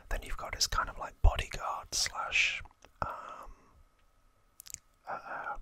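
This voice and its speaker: A host whispering softly and haltingly close to the microphone, explaining trivia informally in an ASMR style. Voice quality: soft unvoiced whisper.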